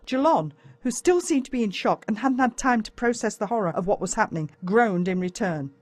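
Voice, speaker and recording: A woman with an Australian accent reads a first-person story as a dramatic, expressive audiobook narrator. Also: medium pitch, brisk.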